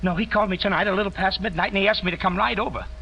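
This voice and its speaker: Nervous vending-route worker, quick and high-strung; dull vintage radio broadcast. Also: male voice, steady tone, vocal fry, bright, forceful tone, heavily acted. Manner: jittery, repeats himself. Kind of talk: 1940s radio acting.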